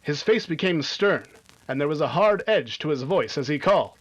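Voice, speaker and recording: A man's voice: a little high, expressive, normal speed. A man playing a hearty, emphatic announcer and narrator of a 1950s radio adventure serial. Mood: grave. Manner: dramatic, emphatic.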